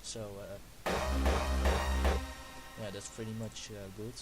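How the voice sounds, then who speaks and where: A young man with a Dutch accent, Dutch his first language, explaining music production step by step in a halting, self-correcting way. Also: lowish voice, level tone, poor audio. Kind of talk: screen-recorded production tutorial. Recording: hissy home recording.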